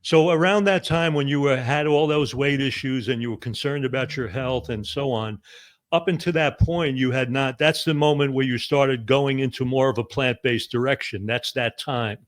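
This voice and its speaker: A man's voice: mid-range voice, steady tone, moderate pace. Man hosting an interview, rambling questions. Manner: short backchannel replies, long rambling questions. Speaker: interviewer, host. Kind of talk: conversational interview.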